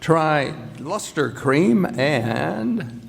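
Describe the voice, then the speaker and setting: An actor playing an earnest, polished 1940s radio pitchman reading a commercial in a radio play. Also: sing-song, drawn-out words, creaky, loud music behind the voice, heavily acted. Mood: earnest, selling. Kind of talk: old-time radio advertisement. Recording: echoey hall stage recording.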